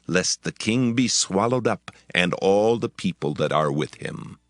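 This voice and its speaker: Urgent biblical counselor, very animated, dry. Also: male voice, deep voice, a little slow, no echo. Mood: urgent warning. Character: urgent counselor sending a warning in ancient times. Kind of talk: dramatized audio Bible acting.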